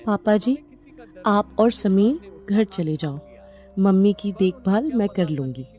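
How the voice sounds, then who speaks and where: A woman playing a gentle, dutiful young Indian daughter-in-law, deferential in a cartoon story. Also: mid-range voice, expressive, slow pace, lo-fi sound. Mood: dutiful, caring. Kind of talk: Hindi animated moral story dialogue. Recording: music bed behind the voice.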